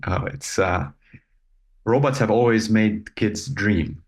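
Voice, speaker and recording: Guy, low and gravelly, thoughtful, lots of little pauses. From Lebanon. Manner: measured, thoughtful, with frequent filler pauses. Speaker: guest, robotics company founder. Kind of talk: casual interview talk.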